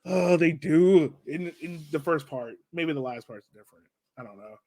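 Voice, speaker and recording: A man with a slightly high voice hosts a casual sports chat. He talks loosely and informally, with everyday slang. The sound is very dry, with little room in it. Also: normal speed, a little mellow, dry recording. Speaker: podcast host.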